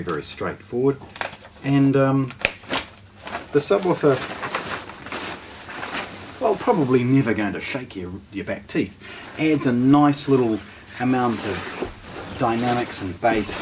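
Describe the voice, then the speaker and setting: A man's voice: mid-range voice, lively intonation, a little slow, pauses often, background noise. A man with a New Zealand accent presenting a casual product unboxing, explaining things informally step by step as he handles them.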